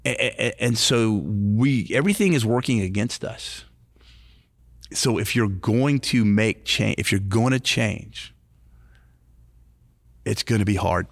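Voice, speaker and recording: Brief: late-50s man, low gravelly voice, earnest recovery-ministry podcast co-host, dry close mic. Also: measured pace, long pauses, no echo. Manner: earnest, repetitive, restarts phrases. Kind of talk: casual faith-based podcast talk.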